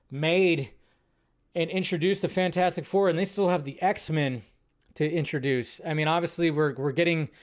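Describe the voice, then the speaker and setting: A young adult man hosting a casual live movie news stream, rambling and correcting himself as he talks. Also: on the high side. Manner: rambling, self-correcting, chatty.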